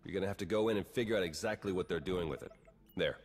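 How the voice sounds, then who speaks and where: A man's voice: low voice, fast, faint music behind. An actor playing a wry, cynical male spy in a video game, dry and understated. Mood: briefing, determined. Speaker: intelligence agent character. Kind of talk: video game cutscene acting. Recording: long hall reverb, noisy ambience behind.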